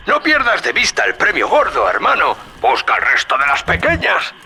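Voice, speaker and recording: A man's voice: fairly high pitch, wildly swooping pitch, moderate pace, vocal fry, bright, forceful tone, breathy edge, heavily acted. An actor playing a sly, scheming middle-aged businessman in a dubbed video game, wheedling and folksy. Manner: wheedling, folksy, calls the listener friend and brother. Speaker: radio guide character in a dubbed video game.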